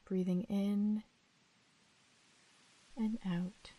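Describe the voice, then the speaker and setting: A woman leading a guided sleep meditation in a gentle, soothing, unhurried manner with long pauses. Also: mid-range voice, level tone, no echo, low-quality recording.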